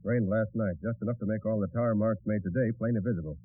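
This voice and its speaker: A brisk american 1940s radio detective, bossy and sure of himself, husky, dry muffled old broadcast sound. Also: male voice, lowish voice, no room sound. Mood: brisk, decisive. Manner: decisive, deductive, clipped instructions. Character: brisk, commanding male master detective. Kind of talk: 1940s radio drama acting.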